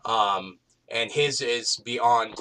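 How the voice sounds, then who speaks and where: A man's voice: medium pitch, extremely slow, pushed and bright. A man hosting a casual, crude round table chat, rambling and repeating himself as he goes. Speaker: round table show host. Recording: distant noisy mic with clicks.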